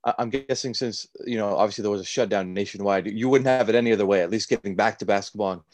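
A man's voice: lowish voice, quick pace, no echo. A man hosting a sports podcast and interviewing a guest in a friendly way, with lots of fillers. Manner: friendly, filler-heavy questions. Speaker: podcast host, sports beat writer. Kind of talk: casual interview.

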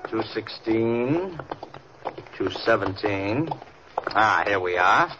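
A man's voice: lowish voice, lively intonation, slow. An actor playing a courteous, matter-of-fact male hotel clerk in an old radio drama. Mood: polite. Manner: courteous, plain. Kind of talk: 1940s-50s radio acting. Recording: muffled old radio recording, clear hiss.

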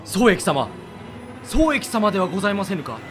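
Casting: devoted samurai-era merchant messenger, high-pitched, pressing and respectful, period radio play. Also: male voice, sing-song, normal speed, over music. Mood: respectful, urgent. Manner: deferential archaic speech, emphatic. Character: loyal, urgent merchant retainer of the warlord era. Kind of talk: Japanese period audio drama acting.

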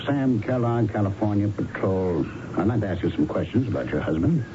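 A man acts out a California investigator in a 1970s radio drama. His American voice moves freely up and down as he probes, casual but persistent. The old broadcast sounds dull, with clear noise. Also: fairly low pitch, expressive, brisk, lightly acted. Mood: casually persistent. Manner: probing, casual, hesitant lead-ins to questions. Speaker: actor playing an investigator. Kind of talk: radio drama acting with narration.

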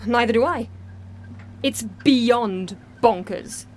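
Casting: wry British female agent, slightly high voice, skeptical dry delivery, faint background noise. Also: slow, darkish tone. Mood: incredulous, wry. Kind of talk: audio drama acting.

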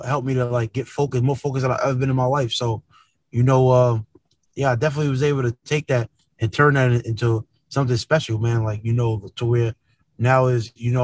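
A man's voice: mid-range voice, level tone, fairly quick, dry recording. Earnest man in a dry-sounding interview. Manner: earnest, repeats tags like "man" and "you know". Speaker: rapper, interview guest. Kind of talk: casual interview chat.

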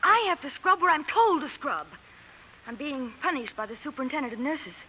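An actress playing a spunky, plain-spoken young nurse trainee in a 1940s radio drama, forthright and folksy. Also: female voice, high voice, expressive, brisk, pushed and bright. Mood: feisty. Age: young adult. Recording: noisy vintage 1940s radio broadcast.

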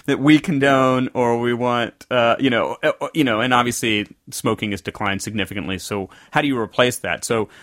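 A man's voice: medium pitch, normal speed, few pauses, fairly bright tone, no echo, studio quality. A man from the American South, co-hosting a casual podcast and telling a rambling story that often circles back to correct itself. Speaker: podcast co-host. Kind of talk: casual conversational podcast chat.

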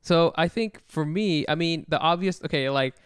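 A man co-hosting a podcast, telling animated stories in casual chat with offhand swearing. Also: a little high, moderate pace, clean tone, no room sound, studio quality. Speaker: podcast co-host.

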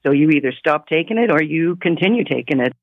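A radio phone-in caller giving an earnest personal account over a narrow phone line. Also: male voice, fairly high pitch, expressive, quick pace, dry recording.

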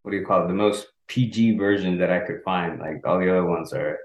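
Casting note: young man, very low voice, earnest and halting, originally from El Salvador, roomy echo. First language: Spanish. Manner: earnest, halting with restarts and small laughs. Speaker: guest, author. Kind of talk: casual interview.

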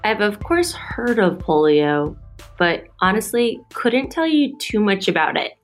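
A woman hosting a podcast, introducing her guests in measured, scripted narration. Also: mid-range voice, sing-song. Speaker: podcast host. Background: music.

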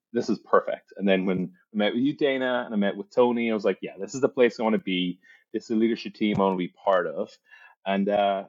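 A man with an Irish accent and a very low voice talks through his career as a guest in an interview. He is friendly and conversational, and he often stumbles and restarts his words. The recording sounds muffled and a little lo-fi. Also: a little mellow. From Ireland. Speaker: guest, services executive.

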